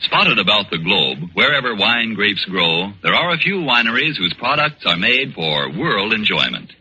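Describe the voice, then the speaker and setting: A man's voice: on the low side, very animated, normal speed, noisy background. A man announcing a 1940s radio commercial with a polished, emphatic sales delivery. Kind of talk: 1940s radio advertisement read. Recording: muffled vintage 1940s radio recording.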